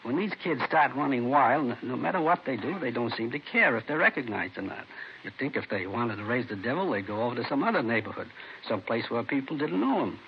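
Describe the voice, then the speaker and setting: A troubled neighborhood theater manager with an American accent and an average-pitched voice. He is talkative and a little halting, confiding in a folksy way. It sounds like a muffled vintage radio drama. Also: lively intonation, creaky. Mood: puzzled. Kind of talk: 1950s radio drama acting. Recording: dull, noisy vintage radio recording.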